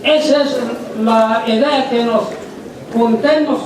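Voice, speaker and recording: A man giving plain-spoken testimony to an assembly in short, halting phrases. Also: very high voice, fairly even intonation. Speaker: community speaker. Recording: echoey, distant, noisy room sound.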